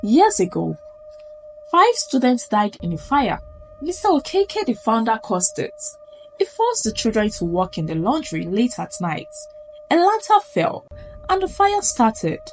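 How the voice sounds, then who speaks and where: A high-voiced Nigerian woman tells a spooky folktale with great theatrical suspense. Her pitch rises and falls dramatically from phrase to phrase. Music plays softly behind her narration. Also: huge pitch swings, a little slow, low-quality recording. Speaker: storyteller. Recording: music under the voice. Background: background music.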